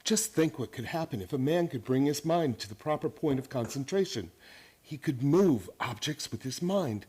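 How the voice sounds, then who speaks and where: A mid-pitched young man's voice in radio-play style. The actor plays an intense, idealistic teacher who speaks formally and lectures with earnest conviction. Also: expressive, moderate pace, darkish tone, breathy edge. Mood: fervent. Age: young adult. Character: intense, idealistic young male psychology teacher obsessed with mind power. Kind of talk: radio drama acting.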